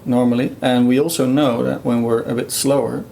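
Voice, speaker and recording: A Dutch-native man explains his research in a relaxed interview. His voice is gravelly and mellow, and he thinks aloud with frequent fillers and restarts. A faint hiss sits behind him. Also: medium pitch, a little fast. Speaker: guest researcher. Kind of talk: conversational explanation.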